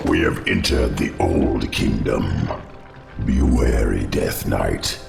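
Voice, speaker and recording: Ancient spider-lord, gravelly and grim. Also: male voice, lively intonation, full character voice. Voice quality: deep gravelly rumble. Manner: slow, solemn warnings. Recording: echoey game audio over score. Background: music.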